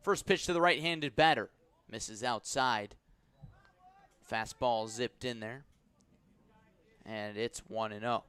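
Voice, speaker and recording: A man calling a baseball game live as a radio play-by-play announcer, with measured, descriptive delivery that lifts when the action picks up. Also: mid-range voice, a bit bright, smooth. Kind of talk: live sports commentary.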